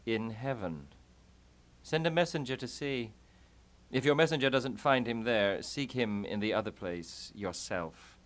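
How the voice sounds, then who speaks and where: A man's voice: medium pitch, unhurried, fairly bright tone, natural voice. A man acting a mocking, impulsive, grief-maddened young prince in a theatrical Shakespearean puppet show. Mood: sardonic. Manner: dramatic, declaiming, sardonic.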